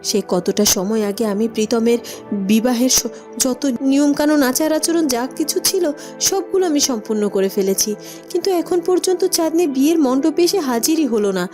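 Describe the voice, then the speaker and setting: A woman's voice: high voice, expressive, brisk, a little mellow, faint music behind, voice pushed down. A woman voicing an anxious, protective queen mother in a cartoon, scolding with agitated, repeated questions. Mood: impatient. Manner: agitated, repetitive, reproachful questioning. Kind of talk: melodramatic cartoon acting.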